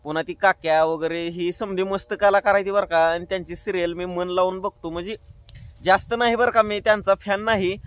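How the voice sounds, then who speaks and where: A man's voice: sits high, fairly even intonation, a little fast, fairly bright tone, clear, resonant tone, dry recording. A man with a rural Maharashtrian accent, chatting colloquially and directly to viewers as host of a prank-call channel. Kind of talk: casual chatty vlog narration.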